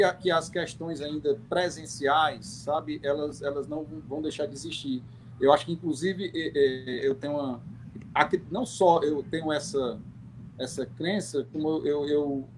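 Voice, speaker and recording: A man giving his opinions on an online panel, thinking aloud in a reflective, halting way. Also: fairly high pitch. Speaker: guest. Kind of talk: unscripted opinion talk on a live online panel. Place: remote video call. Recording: clearly noisy online call audio.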